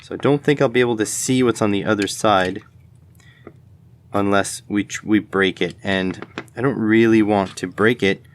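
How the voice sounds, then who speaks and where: A man's voice: fairly low pitch, steady tone, normal speed, a little hiss or hum. A man giving casual hands-on commentary as host of an electronics teardown video, tentatively thinking aloud as he goes.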